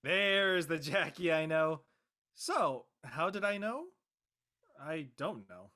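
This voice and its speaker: A young actor playing a devoted, gently teasing friend in a drama, casual and reassuring. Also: male voice, fairly high pitch, expressive, takes it slowly, exaggerated voice. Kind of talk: acted dialogue in an audio-led play.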